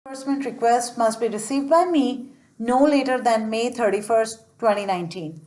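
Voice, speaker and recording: A woman's voice: fairly high pitch, normal speed, darkish tone, rough recording. A woman presenter reading a scripted training voice-over in a matter-of-fact, instructional way.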